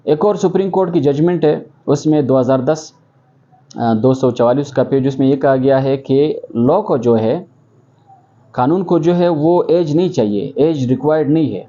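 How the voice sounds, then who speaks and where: A man's voice: a little high, normal speed, warm tone. A man from Pakistan with a Pakistani accent giving an explanatory legal lecture, repeating and rephrasing points and mixing in English legal terms. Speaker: law lecturer. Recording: dull, muffled lo-fi audio.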